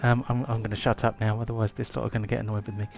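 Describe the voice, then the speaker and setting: A man's voice: lowish voice, level tone, very fast, vocal fry, darkish tone, muffled recording. A man, hosting and running a live-play tabletop game in a chatty, rambling way full of ums and restarts. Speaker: game master.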